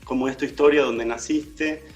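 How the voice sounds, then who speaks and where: Man, interviewer, halting questions, faint music behind. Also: mid-range voice, steady tone, lo-fi sound. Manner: hesitant questions with many fillers. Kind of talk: casual interview.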